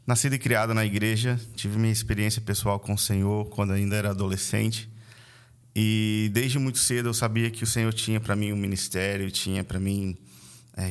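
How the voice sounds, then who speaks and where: A man in his late thirties from Vitória, Brazil, with an Espírito Santo accent, a podcast guest who tells his story in an earnest, reflective way. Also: lowish voice. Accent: Brazilian Portuguese, Espírito Santo (capixaba). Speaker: guest, missionary and church leader. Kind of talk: conversational podcast interview.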